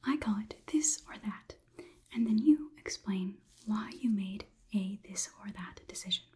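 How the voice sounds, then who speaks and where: Slow, breathy whispering young woman. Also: medium pitch, dark tone. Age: young adult. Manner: deliberate, inquisitive, clinical. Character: detached robot overlord examining a human. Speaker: ASMR performer. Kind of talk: ASMR roleplay, whispered. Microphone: close microphone.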